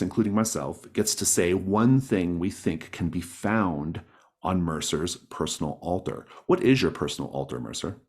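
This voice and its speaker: Deep-voiced man hosting a tabletop game. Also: a little mellow, husky. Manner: encouraging, accommodating. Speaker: game master, host. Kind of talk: casual explanation of a tabletop game. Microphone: online group call.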